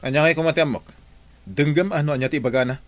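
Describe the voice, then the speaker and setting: A man's voice: a little high, fast, dry recording, lightly acted. A man voicing a commanding, impatient head of household in a radio drama. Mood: brisk.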